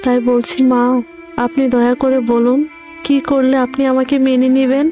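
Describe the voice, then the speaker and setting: A woman's voice: sits high, flat delivery, normal speed, mellow, faint music behind, natural voice. A woman voicing a meek, dutiful young daughter-in-law in a fairy-tale cartoon, earnest and deferential. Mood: pleading.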